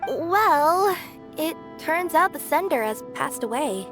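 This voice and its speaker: A young delivery courier in a video game. She sounds high, gentle and cheerful, with lively intonation and music behind her. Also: takes it slowly, exaggerated voice. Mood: earnest, explanatory. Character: friendly, conscientious young female delivery courier. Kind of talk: English video game voice acting.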